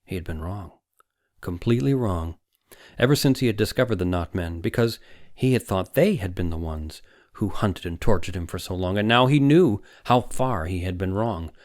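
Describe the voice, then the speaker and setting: Low-voiced man narrating suspense fiction. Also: lively intonation, moderate pace, a little mellow, no echo, studio quality. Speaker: audiobook narrator. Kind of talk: dramatic scripted fiction narration.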